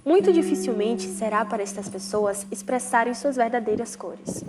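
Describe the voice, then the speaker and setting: Young brazilian woman reading a formal documentary script, bit far from the mic, guitar music really loud. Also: a little high, normal speed. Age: young adult. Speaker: documentary narrator and interviewer. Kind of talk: scripted narration.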